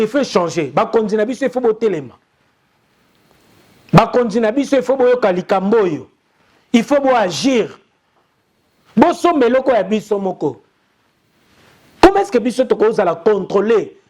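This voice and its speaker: Young congolese guy ranting urgently on a news show, pretty high voice. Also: expressive, normal speed, pauses often, a little mellow. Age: young adult. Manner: urgent, insistent, repetitive appeals. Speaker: news commentator. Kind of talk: impassioned commentary.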